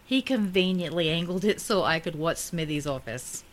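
A woman's voice: fairly low pitch, normal speed, natural voice. A woman playing a nervous young woman facing an interview in an audio drama. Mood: anxious. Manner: anxious, animated.

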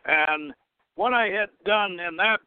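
A man's voice: high voice, takes it slowly, clean tone. Male radio host on a tinny phone line. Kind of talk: introducing a talk show, partly reading from notes.

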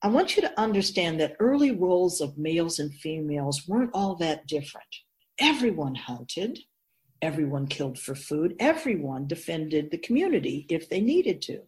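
A woman playing a wise otherworldly spirit in a radio drama, explaining things patiently in a measured, knowing way. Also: sits low, sing-song, a little mellow. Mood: didactic. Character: Keeper of Souls, wise otherworldly spirit in female voice.

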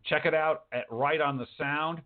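A man's voice: fairly high pitch, steady tone, moderate pace. A man hosting a talk show in a casual, chatty way, rambling along and answering his own remarks. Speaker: host of a writing talk show. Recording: muffled phone-like audio.

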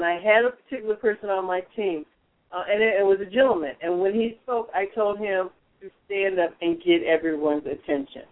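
A man hosting a radio advice show, coaching in a strong, projecting voice with frequent restarts. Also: sits high. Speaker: radio show host, mentor. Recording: phone-like narrow band.

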